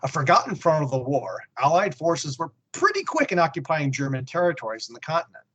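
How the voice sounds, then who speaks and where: A man's voice: fairly high pitch, sing-song, brisk, a bit bright, breathy edge, poor audio. A man co-hosting a podcast, reading a prepared report on comics and history with snarky muttered asides. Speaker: podcast co-host. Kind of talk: comic book report read from a script with asides.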